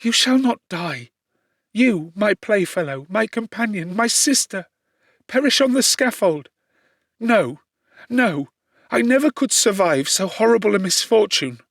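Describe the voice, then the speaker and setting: A tender, loyal young gentlewoman in a classic audiobook , acted out by a man. The voice sits very high, fervent and distressed, imploring with great earnestness. The recording is very dry. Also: expressive, unhurried, dry recording, exaggerated voice. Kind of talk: dramatic audiobook character reading.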